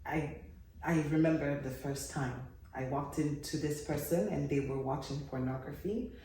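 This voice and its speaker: A woman guest with a very low voice, speaking calmly and steadily about hard memories. She sits well back from the mic, and the room has a strong echo. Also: moderate pace, recorded from a distance, slight background noise. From Democratic Republic of Congo. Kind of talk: interview guest telling a personal story.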